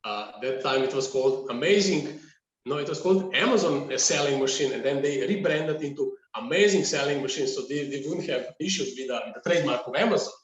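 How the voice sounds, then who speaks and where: A middle-aged man with a Slovenian accent, answering casually as a podcast guest, often halting and repeating words. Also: mid-range voice, muffled recording. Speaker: podcast guest, agency founder. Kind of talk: casual conversational interview answer. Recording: echoey room, distant from mic.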